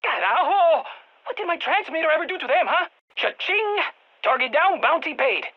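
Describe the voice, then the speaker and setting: A man playing an excitable, quirky scientist advising a strike team over the radio in a video game, with a Spanish accent and exclamatory delivery. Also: very high-pitched, moderate pace, dull audio, exaggerated voice. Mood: exasperated, then gleeful. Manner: animated, exclamatory, peppers speech with Spanish interjections. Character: excitable, quirky male exogeologist supporting a military strike team. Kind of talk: video game voice acting, mission radio callouts.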